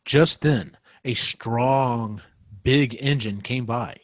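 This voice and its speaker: A man's voice: medium pitch, extremely slow, dry recording. A man, a podcast co-host, reading a comic parody storybook aloud.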